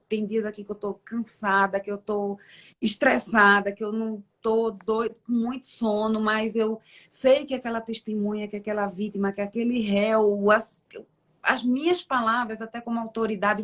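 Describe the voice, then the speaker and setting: Brazilian woman, judge hosting an interview; encouraging, reflective delivery. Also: mid-range voice. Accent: Brazilian Portuguese. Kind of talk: conversational interview.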